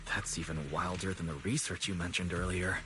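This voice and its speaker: A man's voice: sits low, flat delivery, brisk, lightly acted. A man voicing a level-headed, reassuring young adventurer in an anime video game, speaking in an earnest, conversational way. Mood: surprised. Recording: game audio under clear music.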